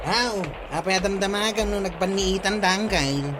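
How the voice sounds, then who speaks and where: An actress with an Ilocano Filipino accent playing a blunt, irritable villager in a radio comedy drama. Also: female voice, mid-range voice, moderate pace, noisy background, close to an everyday voice.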